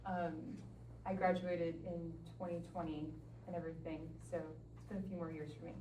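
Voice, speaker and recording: A woman's voice: fairly low pitch, little pitch movement, normal speed. A woman in her late twenties, a missionary guest on a panel, speaking in a thoughtful, earnest way. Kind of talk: reflective panel talk. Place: large classroom. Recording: echoey room, mic far off, faint noise.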